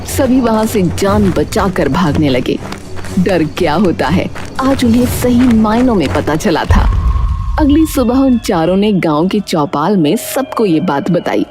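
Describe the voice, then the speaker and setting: Slow-paced woman storyteller, very animated pitch. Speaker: narrator. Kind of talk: scripted storytelling narration for a Hindi cartoon. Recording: loud music under the voice. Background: background music.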